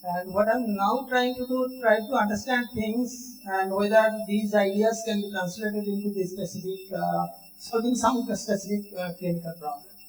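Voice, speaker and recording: A woman's voice: medium pitch, steady tone, normal speed, a little mellow, soft background music. Older retired woman, kinda fumbling for words, roomy echo, far from mic. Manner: searching for words, restarts. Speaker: retired scientist. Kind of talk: conference self-introduction. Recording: echoey room, distant mic.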